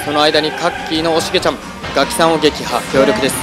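A man's voice: fairly high pitch, steady tone, fast. A man narrating and commentating a competition with dramatic, announcer-style calls. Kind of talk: energetic sports-style commentary. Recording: voice-over under loud music.

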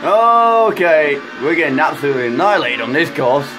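A man with a croaky voice, chatting excitedly through a racing game as a gaming YouTuber, rambling with fillers. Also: a little high, fairly quick, over music. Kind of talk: casual racing game commentary.

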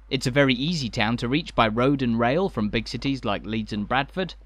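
A man narrates a town walking tour from a script, measured and enthusiastic like a guide. His voice sits at an ordinary middle pitch. The recording is close and dry, with no room sound. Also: fairly bright tone, no room sound.

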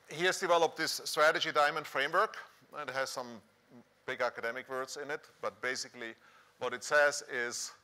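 Middle-aged male conference speaker, Swiss accent, bright forceful voice, conversational delivery. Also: mid-range voice. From Switzerland. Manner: conversational, rhetorical questions to the audience. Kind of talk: conference presentation. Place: large dark conference hall stage, indoors.

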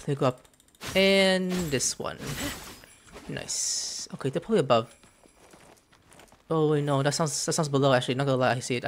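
A young man doing casual let's play commentary, excitable and muttering to himself as he plays. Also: fairly high pitch. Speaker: gaming streamer. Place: small room indoors at home. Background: game music.

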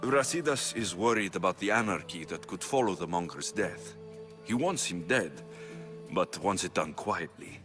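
Low-voiced mercenary hero. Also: male voice, animated, moderate pace. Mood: calm, confident. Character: resolute, self-assured young male mercenary warrior. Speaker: hero of an action game. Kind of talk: dramatic video game acting. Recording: game music behind the voice.

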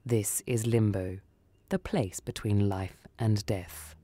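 A man acts out a supernatural son of Death for a radio short story. He is calm and menacing, with a dark tone and a slow, lucid, deadpan delivery. The sound is close and dry. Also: on the low side, no room sound, pitched below the performer's own voice. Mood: cold, patient.

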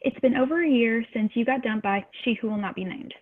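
Woman playing a peppy, husky, tinny-sounding little sister. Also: on the high side, fast talker. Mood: probing. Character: cute, peppy nineteen-year-old gossiping little sister. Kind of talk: table-read acting over video call. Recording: tinny, band-limited call audio.

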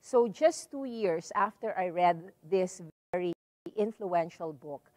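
A middle-aged woman from the Philippines with a Filipino accent, giving a public lecture in a library hall and telling her story in a measured way with many "so"s and "um"s. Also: on the low side, expressive, a little mellow. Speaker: visiting lecturer. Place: library hall with a seated audience.

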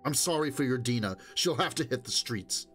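A man's voice: medium pitch, lively intonation, fairly quick, fairly bright tone, soft background music, close to an everyday voice. A man voicing a hard younger stalker in an audiobook, speaking coldly and curtly in a dismissive manner. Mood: irritated, curt. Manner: cold, curt, dismissive.

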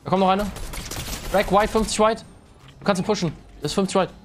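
Young man, streamer, clipped excited callouts, slangy, desk mic, faint game music. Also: high-pitched, fast talker. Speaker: streamer playing with a teammate. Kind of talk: fast team callouts while gaming.

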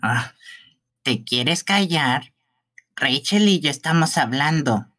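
A performer voicing a creepy, sweet-talking boy killer from a horror game, in a soft, lingering, pleading manner. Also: medium pitch, lively intonation, takes it slowly. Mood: annoyed.

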